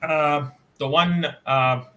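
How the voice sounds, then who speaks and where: A man's voice: fairly high pitch, steady tone, drawn-out words, bright, forceful tone. A man co-hosting a casual football podcast, speaking hesitantly with many fillers. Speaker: podcast co-host.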